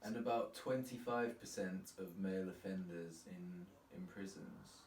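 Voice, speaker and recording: An easygoing, fairly low-voiced man who grew up in Spain, chatting thoughtfully and laughing often. He is off the mic in a roomy space, with faint hiss. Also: a little slow, darkish tone, recorded from a distance. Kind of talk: casual chat. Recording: roomy, held away from the mic.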